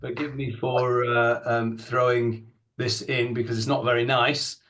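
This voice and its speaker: Male participant, unhurried, hesitant, apologetic, um-heavy. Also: mid-range voice, level tone, a bit bright. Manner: hesitant, apologetic, many ums. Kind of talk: comment in an online class. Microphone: video call.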